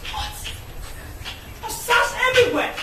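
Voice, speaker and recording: A high, wildly swinging voice in an echoey room: a haughty Nigerian princess in a screen melodrama. She is hot-tempered and imperious, quick to scold and insult. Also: sing-song, heavily acted. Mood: indignant, scolding. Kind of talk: melodramatic film acting. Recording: echoey room, noisy location sound.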